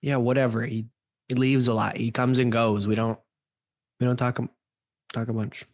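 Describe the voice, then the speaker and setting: A man playing a defensive, nervous young stoner with halting dude-speak in an amateur radio play. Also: mid-range voice, little pitch movement, darkish tone, voice pushed down, natural voice.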